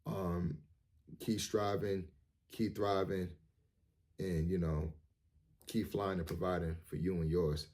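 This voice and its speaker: A man in his thirties talking casually to his viewers in a rambling, earnest, motivational way, repeating words and filling pauses with "um" and "you know". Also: sits low, steady tone, darkish tone. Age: 30s. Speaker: vlogger. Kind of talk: casual monologue to camera.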